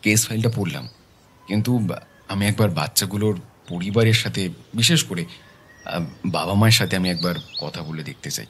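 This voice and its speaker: An actor playing a serious, determined detective in an audio drama, speaking in a deliberate way. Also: male voice, low-pitched, a little hiss or hum, natural voice. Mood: businesslike. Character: serious, determined male private detective, methodical and businesslike.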